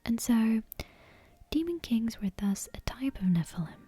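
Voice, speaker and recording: Female ASMR storyteller, very breathy whisper with a soft mellow tone, gentle scripted bedtime narration. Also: fairly high pitch, animated, unhurried, dry recording. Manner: gentle, careful, hushed read-aloud delivery.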